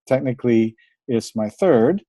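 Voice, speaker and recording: A man's voice: mid-range voice, lively intonation, unhurried, warm tone, no room sound, studio quality. Encouraging man teaching a webinar. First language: English. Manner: encouraging, conversational with fillers. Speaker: language teacher. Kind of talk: webinar presentation.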